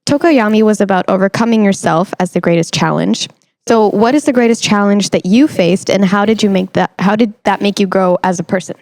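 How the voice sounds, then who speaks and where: A woman's voice: mid-range voice, a little fast, darkish tone, dry recording, studio quality. A young woman hosting a conversational interview in an encouraging, supportive way. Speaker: podcast host.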